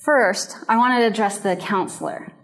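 A woman with a Japanese and American background, giving a measured, earnest lecture on biblical counseling and culture. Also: fairly high pitch, a bit bright, slight background noise, rough recording. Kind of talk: recorded lecture.

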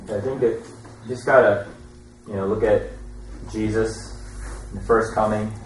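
A man's voice: lowish voice, a little mellow. A man in a teaching session explaining his thoughts casually and colloquially, recorded at a distance indoors. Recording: distant, echoey room with faint noise.

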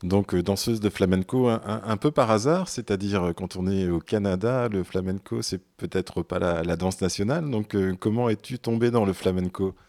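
A man hosting a radio interview, asking questions in a halting way with many repeated words. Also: on the low side, a little mellow. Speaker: radio host.

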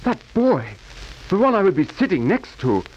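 High-voiced, defiant German schoolboy, old radio. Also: male voice, very animated, normal speed. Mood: indignant. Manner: indignant, stiffly formal speech. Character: defiant, haughty German schoolboy, new in class. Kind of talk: 1940s radio acting. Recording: muffled, crackly 1940s radio recording.

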